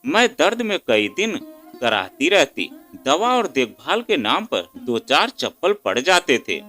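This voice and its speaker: A man's voice: on the high side, pushed and bright. A man telling an emotional moral story in the first person with a dramatic, sorrowful delivery. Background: faint instrumental music.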